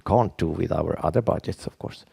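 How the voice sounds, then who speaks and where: A man with a Swedish accent gives a conference talk in English, his second language. His low voice is gravelly, and he stops and restarts his words often. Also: dark tone. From Sweden. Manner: halting, with frequent restarts and fillers. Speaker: conference speaker. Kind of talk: conference presentation.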